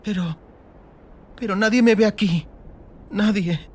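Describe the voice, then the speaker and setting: A man voicing an exhausted, determined young girl's inner thoughts in a dramatized audiobook, in a hushed dramatic delivery. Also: high-pitched, slow pace, husky, exaggerated voice. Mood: bitter despair.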